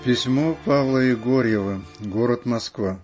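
Male radio narrator, average pitch, reading written letters aloud from a script. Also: a little slow, over music. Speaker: radio reader of listeners' letters.

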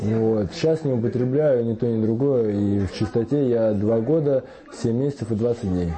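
A man in his twenties giving a halting, confessional interview answer. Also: medium pitch, crowd noise. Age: 20s. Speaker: interviewee in rehab.

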